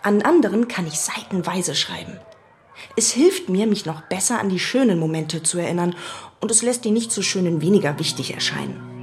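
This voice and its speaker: A woman's voice: fairly low pitch, lively intonation, brisk, a little hiss or hum, close to an everyday voice. A woman playing a patient, encouraging primary school teacher in a radio drama. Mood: gentle, encouraging. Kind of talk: German radio drama acting.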